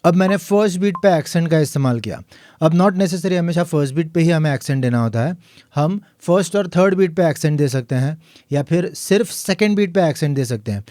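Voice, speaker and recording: A man teaching a piano lesson, explaining calmly and counting the beats aloud. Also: fairly high pitch, brisk, no echo. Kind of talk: tutorial lesson.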